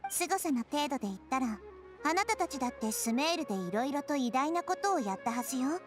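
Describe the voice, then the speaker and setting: A woman voicing a gentle, wise girl deity in an anime game, speaking in a poised, philosophical manner. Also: sits high, expressive, racing, faint music behind. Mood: wry, disappointed. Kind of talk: anime game voice acting in Japanese.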